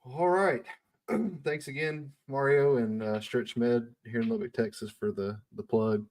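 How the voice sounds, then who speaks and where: A man's voice: mid-range voice, fairly even intonation, measured pace, darkish tone. A man hosting a podcast in a casual, unscripted way. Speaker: podcast host.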